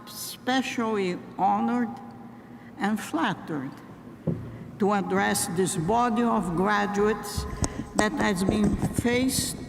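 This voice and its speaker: An older woman from Brazil giving a graduation address, speaking in a deliberate, halting way with pauses and restarts. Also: medium pitch, vocal fry. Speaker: commencement speaker. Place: large ceremony venue. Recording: reverberant hall with faint noise.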